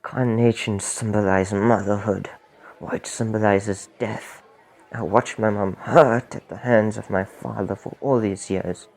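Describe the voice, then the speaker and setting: A teenage man playing a local baker with a dark secret in an amateur radio play. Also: on the low side, steady tone, a little slow, gravelly. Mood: grim, confessing. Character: local male baker, secretly a grimly unrepentant killer.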